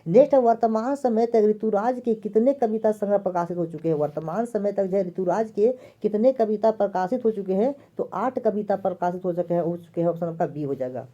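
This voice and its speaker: Woman exam tutor, very dark voice, muffled lo-fi audio, goes through answers and repeats each one. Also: mid-range voice, a little fast, few pauses. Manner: repeats each question and answer several times. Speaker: exam prep teacher. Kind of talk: answer key walkthrough.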